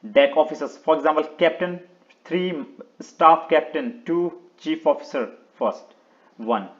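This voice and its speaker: A man presenting an unscripted step-by-step website walkthrough in short, halting instructional phrases, often repeating words. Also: a little high, animated, lo-fi sound. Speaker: video tutorial presenter.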